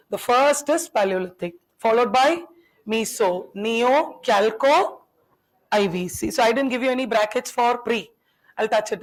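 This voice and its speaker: A woman in a classroom who grew up speaking Tamil, giving a lecture to her students. Her voice sits a little high, and she keeps asking questions. She talks in a chatty, teasing way. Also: poor audio. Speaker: teacher.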